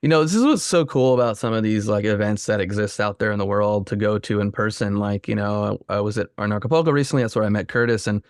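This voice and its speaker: A man's voice: lowish voice, fast talker, hardly any pauses, clear, resonant tone, no room sound, studio quality. A man hosting a podcast interview who talks in a friendly, rambling way with many fillers. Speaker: podcast host.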